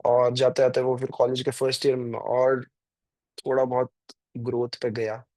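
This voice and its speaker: A man's voice: medium pitch, completely flat delivery, moderate pace, a little mellow, no room sound, poor audio. A man, chatting casually to viewers on a live stream, rambling and full of fillers. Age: 20s. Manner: rambling, filler-heavy, addresses viewers directly. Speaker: trading stream host.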